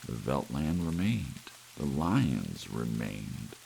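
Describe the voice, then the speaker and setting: A low-voiced man narrates a story as an ASMR audiobook. His delivery is gravelly and quite animated in pitch, over soft rain and a faint hiss. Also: slow pace, poor audio. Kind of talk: scripted story narration, ASMR bedtime reading. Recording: hissy, soft rain sounds behind.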